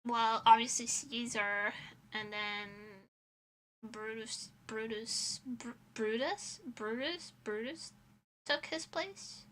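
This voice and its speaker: A woman chatting casually on a livestream in a playful, rambling way with animated asides, a native Portuguese speaker. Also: a little high, takes it slowly, low-quality recording. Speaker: streamer.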